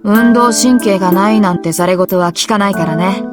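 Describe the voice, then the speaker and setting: A woman's voice: mid-range voice, close to an everyday voice. A voice actress playing a brash, teasing schoolgirl who captains the archery club, in a game voice clip with music under it. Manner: teasing and blunt, quick retorts.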